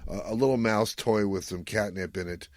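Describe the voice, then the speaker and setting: A man's voice: fairly low pitch, normal speed, dry recording. A man hosting a podcast, casually recapping an episode and jokily paraphrasing its dialogue. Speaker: podcast host.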